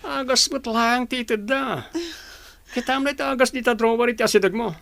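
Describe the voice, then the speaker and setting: A man's voice: extremely high pitch, brisk, creaky, a bit bright, heavily acted. A man playing a dismissive, business-minded boyfriend in a radio drama. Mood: brisk, dismissive. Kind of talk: Ilocano radio drama acting.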